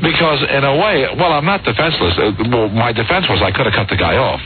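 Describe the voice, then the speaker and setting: A male sports radio host taking calls, self-assured and quick to talk over the caller. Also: medium pitch, slight background noise. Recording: tinny old radio broadcast with music.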